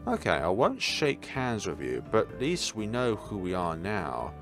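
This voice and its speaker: A man voicing an awkward, easily flustered male high school transfer student in a visual novel, with a hesitant manner. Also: huge pitch swings, unhurried, music in the background, full character voice.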